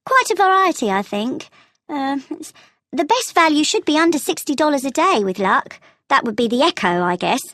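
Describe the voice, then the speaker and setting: A woman playing a helpful, matter-of-fact travel agent in a scripted language-test dialogue, explaining things clearly. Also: high-pitched, very animated, normal speed, pushed and bright, no room sound, studio quality, full character voice. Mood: helpful, thoughtful.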